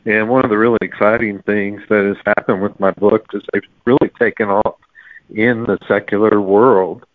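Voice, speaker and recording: A man's voice: lowish voice, steady tone, normal speed, no room sound. A man speaking conversationally as an author being interviewed, on a narrow-band call. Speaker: novelist, interview guest.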